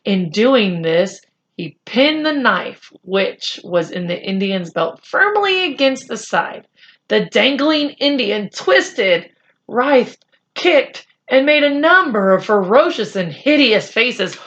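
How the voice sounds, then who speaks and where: A woman reading a children's chapter book aloud in a deliberate, expressive storytelling style. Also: a little high, dull audio. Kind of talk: read-aloud storytelling narration.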